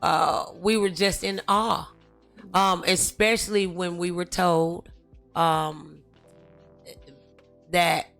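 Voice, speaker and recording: A woman hosting a talk show, speaking in a halting, emphatic and encouraging motivational way. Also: fairly low pitch, vocal fry, fairly bright tone.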